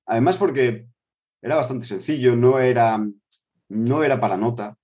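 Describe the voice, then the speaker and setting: A man's voice: mid-range voice, normal speed, husky. A middle-aged man who grew up in Switzerland answers interview questions casually, hesitating with many fillers and restarts. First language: Spanish with German. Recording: dull lo-fi recording.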